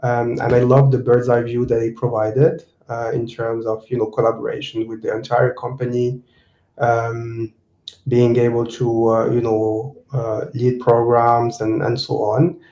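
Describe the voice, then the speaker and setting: A man from Morocco giving a tech seminar talk and answering interview questions, halting with many fillers and restarts. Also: mid-range voice, completely flat delivery, dark tone. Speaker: guest speaker, technical program manager. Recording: roomy echo.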